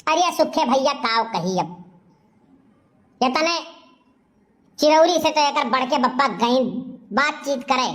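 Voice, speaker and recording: A woman's voice: fairly high pitch, a bit bright. A woman voicing a hard-working, exasperated village aunt in a cartoon comedy, complaining and explaining in an Awadhi accent. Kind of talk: comic cartoon dialogue.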